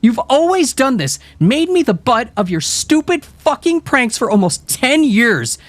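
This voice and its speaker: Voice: medium pitch, very animated, unhurried, dry recording, pitched above the performer's own voice. A man voicing a furious teenage girl who screams in a manic, tearful rage. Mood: furious screaming.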